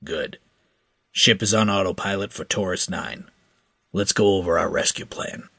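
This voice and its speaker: A man voicing a calm, commanding starship captain with a dry, wry manner. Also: low-pitched, moderate pace, gravelly, husky, no room sound, heavily acted.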